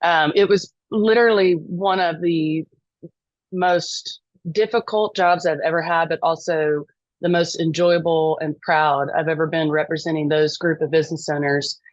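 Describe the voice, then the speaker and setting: Texan lady in her middle years chatting on a podcast, kinda low voice, lots of ums, dead dry sound. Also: steady tone, normal speed, no room sound, dull audio. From East Texas. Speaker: lobbyist guest. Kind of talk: podcast interview.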